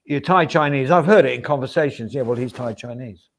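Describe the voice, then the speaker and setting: A man's voice: medium pitch, a little fast. A man hosting a livestream, chatting casually and reading out viewer comments. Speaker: stream host. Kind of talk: casual chat.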